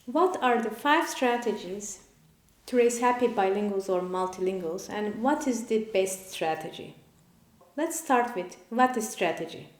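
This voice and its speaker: Measured woman explaining in a roomy echo. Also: medium pitch. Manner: measured, explanatory, listing points one by one. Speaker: parent and channel host. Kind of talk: scripted explainer. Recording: roomy echoey room.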